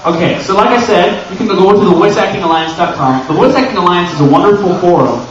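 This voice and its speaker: A man giving an informal, joking panel talk to a live audience, animated and given to acting out little imagined exchanges. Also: sits high, fast. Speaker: convention panel presenter and amateur voice actor. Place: indoor convention panel room. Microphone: camera microphone at a distance. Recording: echoey room, distant mic, dull noisy audio.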